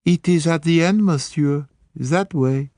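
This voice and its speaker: A man's voice: on the high side, measured pace, a little mellow, no room sound, clean studio sound, pitched above the performer's own voice, lightly acted. A man voicing a pert young French maid with a French accent, chatty and coquettish. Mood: coy.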